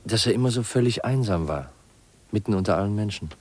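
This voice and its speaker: An earnest young heir in a vintage German radio play. His voice sits fairly low, and he speaks with polite restraint, thoughtful and reflective. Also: animated, fast talker, darkish tone, slightly rough. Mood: thoughtful, hesitant. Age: young adult. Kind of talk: 1950s German radio drama acting.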